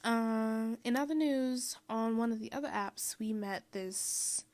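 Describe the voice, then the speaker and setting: A young woman from Mexico giving a casual, rambling voiceover, full of "um" and "like" and quick laughs. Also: fairly high pitch, darkish tone. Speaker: vlogger.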